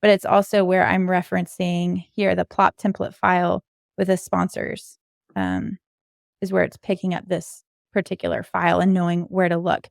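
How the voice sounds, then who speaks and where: Woman with creaky voice explaining step by step. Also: lowish voice, normal speed, dry recording, clean studio sound. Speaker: podcast co-host giving a demo as guest. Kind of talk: informal screen-share coding walkthrough.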